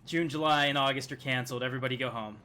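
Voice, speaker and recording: A man's voice: mid-range voice, steady tone, racing, pushed and bright. A man streaming a video game with casual, joking, rambling commentary.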